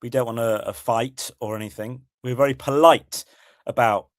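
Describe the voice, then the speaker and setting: British bloke with a bit of a cold, kinda croaky, relaxed chat, mid pitch, dry close mic. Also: male voice, normal speed, dry recording. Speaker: podcast co-host. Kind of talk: casual chat.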